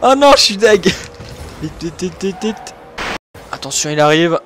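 A young man from Switzerland, commentating his own gameplay excitedly and laughing often. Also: high-pitched, a little slow, faint music behind. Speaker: gaming YouTuber.

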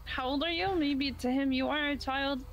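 A woman voicing a calm bystander who teases the others gently. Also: high-pitched, moderate pace, muffled recording. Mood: teasing.

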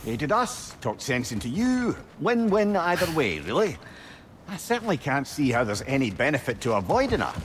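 A man's voice: medium pitch, wildly swooping pitch, normal speed. A man playing a wry, chatty advisor in a video game, with a droll, eloquent, frank way of talking. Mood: wry, chiding. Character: wry, chatty, candid male advisor and companion. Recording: game audio with ambient noise.

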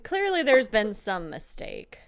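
A woman with a fairly high, animated voice plays in a tabletop game. Her roleplay is improvised and playful. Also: measured pace.

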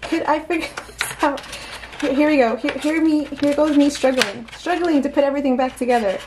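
A woman's voice: sits high, a little fast, noisy background. A woman chatting casually through an unboxing, giggly and full of little exclamations as she talks to herself. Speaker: unboxing vlogger. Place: indoors at a tabletop.

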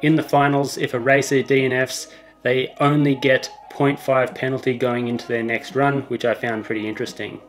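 A young man presenting a ski racing recap vlog from his living room, in a measured, even delivery. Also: mid-range voice, soft background music. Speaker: ski vlogger. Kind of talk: scripted recap commentary. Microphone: small mic on tripod at desk.

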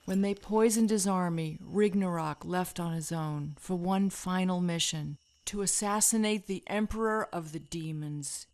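A woman's voice: on the low side, unhurried, hardly any pauses, a little mellow. A woman playing a proud, solemn female warrior of a fantasy land in a video game. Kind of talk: video game cutscene acting.

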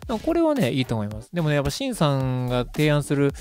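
A man's voice: fairly high pitch, wildly swooping pitch, rapid-fire, darkish tone. A man of about thirty hosting a casual radio talk, summing up and commenting in a polite, chatty way. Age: around 30. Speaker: radio host. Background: background music.

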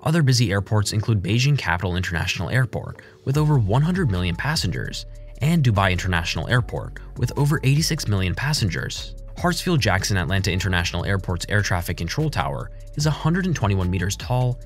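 A man narrates a scripted explainer in a fairly low voice. His delivery is measured and formal, in the manner of a documentary narrator. Faint music plays behind him. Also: very animated. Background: background music.

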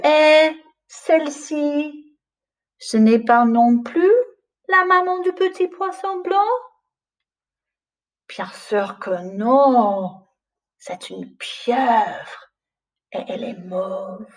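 A woman with a fairly high voice leads a storytime lesson for young children. She goes extremely slowly, placing each phrase with expressive intonation, as a teacher would in a small room. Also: distant mic. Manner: slow, clearly paced, with expressive questioning intonation. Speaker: language teacher reading a picture book. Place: small plain room indoors.

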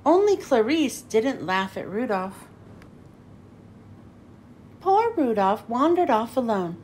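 A woman reading a children's storybook aloud in an expressive, animated, emphatic way. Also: fairly high pitch, slow pace, a little hiss or hum. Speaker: children's book reader.

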